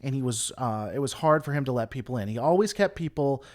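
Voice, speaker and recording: Animated opinionated male livestream host. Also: mid-range voice, quick pace. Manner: rambling, reads and answers chat; opinionated critique. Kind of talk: casual livestream commentary.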